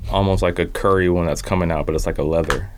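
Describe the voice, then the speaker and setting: A man's voice: low voice, fairly even intonation, fast talker, a little hiss or hum. A man speaking as a podcast guest in a laid-back, informal, slangy way. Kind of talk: casual podcast chat about sneakers.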